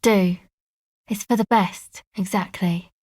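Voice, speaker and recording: A woman playing a laid-back, sardonic young woman in a video game, speaking in a casual, slangy, deadpan way. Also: medium pitch, slow, no echo, clean studio sound. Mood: dry, casual. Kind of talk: video game character dialogue.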